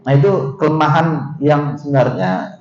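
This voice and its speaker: Middle-aged guy w minang accent lecturing, mellow voice, roomy dull sound, lots of eh and gitu. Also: on the high side, expressive, moderate pace. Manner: conversational, asks the audience questions, frequent filler "eh" and "gitu". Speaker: university vice rector giving a seminar talk. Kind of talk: seminar lecture. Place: seminar room. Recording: dull roomy hall recording with faint noise.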